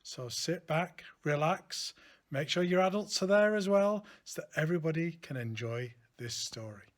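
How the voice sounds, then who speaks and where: A man reading a children's picture book aloud in an expressive, deliberate storytelling manner, like a school teacher. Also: on the high side.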